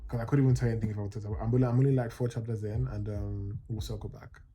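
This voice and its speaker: Excitable man gushing about books. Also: fairly low pitch, very fast, faint music behind. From Nigeria. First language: Yoruba. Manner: excitable, gushing, rambling with restarts. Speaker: book vlogger. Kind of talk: casual reading vlog chat.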